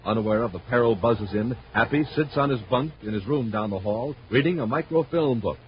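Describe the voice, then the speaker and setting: A man's voice: medium pitch, a little fast, close to an everyday voice. A man acting as a hearty, emphatic American announcer in 1950s radio style, on an old recording. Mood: suspenseful. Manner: emphatic, enthusiastic. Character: hearty grown-up male pitchman for boys' space toys. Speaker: announcer and commercial pitchman. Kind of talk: 1950s radio announcing, scripted sales pitch and narration. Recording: muffled, noisy vintage radio recording.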